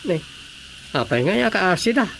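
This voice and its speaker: High, creaky gossiping villager. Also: male voice, big pitch swings, moderate pace, fairly bright tone, exaggerated voice. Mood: curious. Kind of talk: Ilocano radio drama acting. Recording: faint background noise with crickets.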